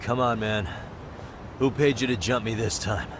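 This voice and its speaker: An actor playing a wry, level-headed detective in a video game dub, speaking in a dry, conversational way. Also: male voice, on the low side, normal speed, background noise, lightly acted. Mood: earnest. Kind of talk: English-dub video game cutscene acting. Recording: music behind the voice.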